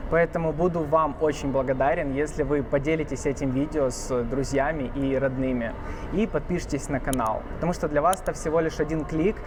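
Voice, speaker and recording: A man's voice: on the high side, fast, noisy background. A man narrating his vlog in a scripted voice-over, earnest and thankful. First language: Russian. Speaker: vlogger.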